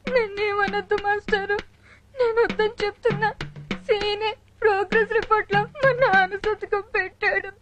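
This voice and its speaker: A woman's voice: very high voice, steady tone, brisk, lo-fi sound, music in the background. A female performer playing a timid, worried, tearful little schoolgirl in film dialogue, anxious and pleading. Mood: apologetic.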